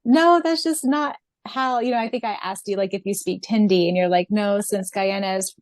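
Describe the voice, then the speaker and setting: Podcast co-host: American woman, mid-pitched, casual conversational delivery, very dry sound. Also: normal speed, a little mellow, no room sound. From United States.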